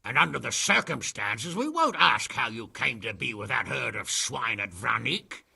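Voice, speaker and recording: A man acting a gruff, brash nobleman in a video game, spoiling for a fight, with a rough gravelly voice. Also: mid-range voice, very animated, normal speed, pushed and bright, exaggerated voice. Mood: stern warning.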